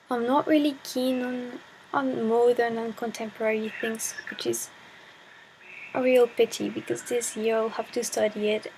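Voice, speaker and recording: Shy teen girl vlogger, gravelly voice. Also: on the high side, slight background noise, low-quality recording. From Chile. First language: Spanish. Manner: hesitant, shy, searching for words. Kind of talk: casual talk to camera.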